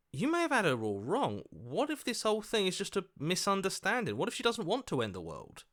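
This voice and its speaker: Game role: earnest everyman inner voice, sceptical and reasoning aloud, expressive rises and falls. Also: fairly high pitch. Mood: puzzled, concerned. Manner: questioning, hesitant, reasoning aloud. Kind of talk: video game voice acting.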